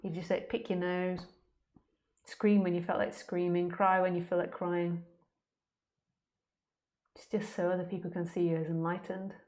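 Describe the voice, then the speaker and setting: A woman's voice: lowish voice, little pitch movement, normal speed, pauses often, a little mellow. A woman speaking as a spiritual teacher in an informal question-and-answer talk, candid, plain-spoken and conversational.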